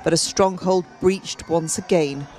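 A woman's voice: on the low side, measured pace. Woman tv reporter doing a scripted report, busy crowd and traffic noise behind her. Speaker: TV news correspondent. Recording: noisy street crowd and traffic. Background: crowd and vehicles.

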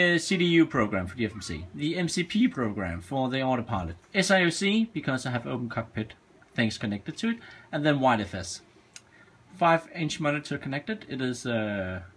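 Halting, fairly high-voiced man explaining. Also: fairly bright tone. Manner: hesitant, searching for words. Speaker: home cockpit builder. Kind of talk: casual walkthrough of his setup. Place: home flight-simulator cockpit, indoors.

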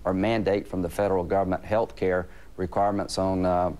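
A man's voice: low voice, brisk, smooth. A middle-aged man speaking from a remote studio, firmly laying out political talking points. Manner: steady, firm, some uh fillers. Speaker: senator, remote guest.

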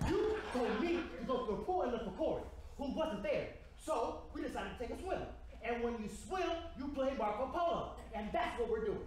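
A woman's high voice comes from far off in an echoey theater. An actress plays a childish, cheeky hotel guest in a stage comedy. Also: lively intonation, moderate pace, natural voice. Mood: cheeky, defensive. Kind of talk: live stage comedy acting. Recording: echoey theater, distant and noisy.

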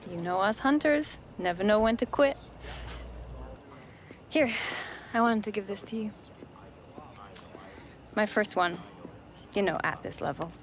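A woman's voice: medium pitch, measured pace, pauses often, lightly acted. A woman playing an upbeat, chatty sixteen-year-old American footballer in naturalistic game dialogue. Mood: friendly, chatty. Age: teenager. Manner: chatty, conversational.

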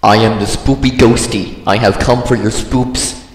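Man putting on a low, silly spooky ghost. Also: steady tone, normal speed, roomy sound, big-room sound, natural voice. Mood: mock spooky. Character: silly spooky ghost and green goblin-like magic creature.

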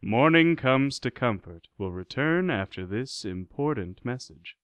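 A man's voice: medium pitch, animated, measured pace, over loud music. A man narrating a scripted radio play.

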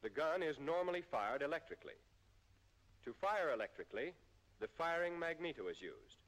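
An American man reading a scripted 1940s military training film narration in a formal, measured way with precise enunciation. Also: fairly high pitch, sing-song, a bit bright. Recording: 1940s film soundtrack, long reverb.

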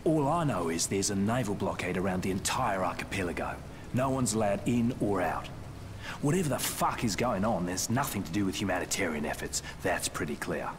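An actor playing a battered, sardonic former soldier held captive in a video game, speaking dryly and with understatement. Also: male voice, deep voice, lively intonation, brisk, noisy background. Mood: grim, matter-of-fact. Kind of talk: video game cutscene acting.

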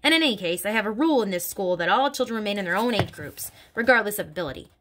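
A woman's voice: mid-range voice, lively intonation, rapid-fire, a bit bright, lightly acted. A woman barking as a bullying giant headmistress. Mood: bellowing scorn. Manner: barking, snorting, contemptuous. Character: bullying hulking female headmistress, bellowing.

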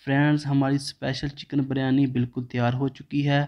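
Man, cooking voice-over, monotone, instructional, mid-pitched. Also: a little fast, dull audio. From Pakistan. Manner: steady step-by-step instructional tone. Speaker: cooking channel host. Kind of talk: recipe voice-over narration.

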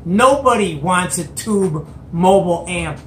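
A man's voice: high voice, lively intonation, unhurried, a bit bright. Middle-aged man telling stories, roomy echo. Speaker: host of a CB radio show. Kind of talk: casual storytelling to camera. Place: small indoor hobby radio room. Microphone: camera or phone at arm's length. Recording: roomy echo, background noise.

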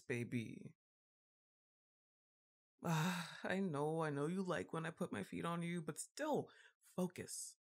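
Woman acting as goth giantess witch gf, slow and teasing, kinda exasperated, bossy but fond, asmr roleplay style. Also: deep voice. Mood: pleading, fond. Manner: drawn-out, teasing, sighing delivery. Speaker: audio roleplay performer. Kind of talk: intimate ASMR girlfriend roleplay.

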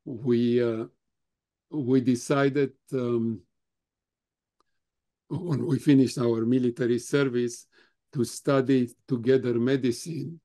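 An older man whose first language is German, slowly answering questions at a remembrance talk with many halting pauses. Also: mid-range voice, fairly even intonation, warm tone. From Europe; grew up speaking German, Russian, Romanian. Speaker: Holocaust survivor guest speaker.

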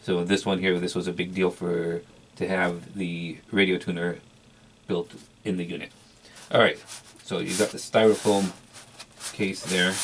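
A middle-aged man with a very low voice and plenty of gravelly fry talks through a product review off the cuff. He rambles a little, hesitating and doubling back on words for emphasis, in the casual way of a hobby collector. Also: fairly bright tone, a little hiss or hum, low-quality recording. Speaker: vintage electronics collector and reviewer.

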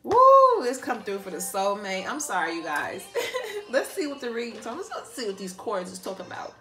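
A woman giving a casual, improvised card reading to an online audience, talking in an animated, slangy way and swearing freely. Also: medium pitch, moderate pace. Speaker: tarot card reader, online host. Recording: music playing behind the voice. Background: pop and R&B songs playing.

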